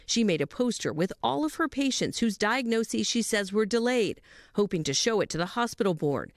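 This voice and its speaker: American female news reporter, medium pitch, very dry studio narration. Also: expressive, normal speed, dry recording.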